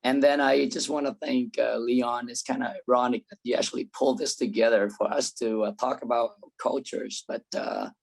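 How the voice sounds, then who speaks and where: Hoarse man who has lost his voice. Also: medium pitch, steady tone, moderate pace, few pauses. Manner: clears throat, casual. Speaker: guest speaker. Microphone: video call.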